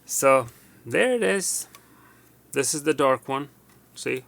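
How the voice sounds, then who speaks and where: A man presenting a casual hands-on repair demonstration, going step by step in a halting way and correcting himself. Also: mid-range voice, animated, vocal fry, a bit bright. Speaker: tutorial presenter.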